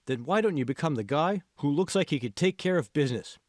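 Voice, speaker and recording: A man's voice: fairly high pitch, lively intonation, a little fast, dry recording, studio quality, lightly acted. A man voicing a confident, encouraging college friend in an audiobook, prodding and persuasive. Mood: insistent encouragement. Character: confident, encouraging young male college friend, insistent coach. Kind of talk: audiobook character dialogue.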